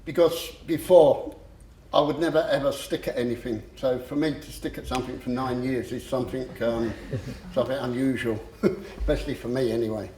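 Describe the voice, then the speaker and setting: A man with a stammer giving a personal talk, slow and halting with frequent pauses and fillers. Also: medium pitch, steady tone, darkish tone, a little hiss or hum. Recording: echoey room.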